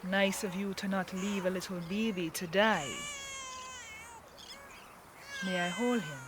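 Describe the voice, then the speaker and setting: A woman's voice: medium pitch, fairly even intonation, normal speed, noisy background, lightly acted. A woman playing a tough, wry, commanding boat captain in a fantasy audio drama. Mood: soft.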